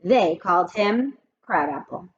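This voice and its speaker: A woman teacher reading a chapter aloud to children, expressive and talking to the kids directly between passages. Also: lowish voice, unhurried, lo-fi sound, a little hiss or hum. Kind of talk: children's read-aloud with asides.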